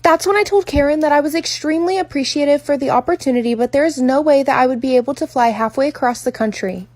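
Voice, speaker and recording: High-voiced woman narrating, very dry sound. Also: fast talker, darkish tone, no echo. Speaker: makeup artist telling client stories. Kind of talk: scripted storytime narration.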